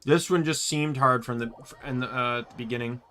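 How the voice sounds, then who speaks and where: A man's voice: mid-range voice, normal speed, bright, forceful tone. A man streaming a game from his room, chatting casually and rambling, often restarting his sentences. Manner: rambling, self-correcting. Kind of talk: casual gameplay chat. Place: home room.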